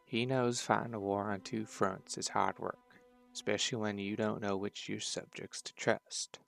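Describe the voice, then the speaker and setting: A man acts out a sly criminal dragon envoy with a heavily gravelled, low voice. He talks in a measured, insinuating way, in a fantasy audio drama with faint music underneath. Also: little pitch movement, fairly bright tone. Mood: persuasive.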